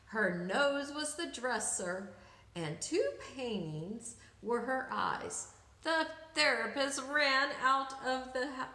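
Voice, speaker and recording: A woman reading a picture book aloud for children, with dramatic, deliberate emphasis, in an echoey room. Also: high-pitched, sharp tone. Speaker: children's story reader.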